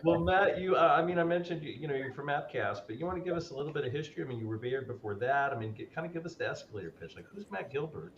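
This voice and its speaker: A man's voice: fairly high pitch, expressive, racing, hardly any pauses, reverberant, lo-fi sound, a little hiss or hum. A man hosting a live podcast, talking with energy and lots of fillers and restarts. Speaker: podcast host.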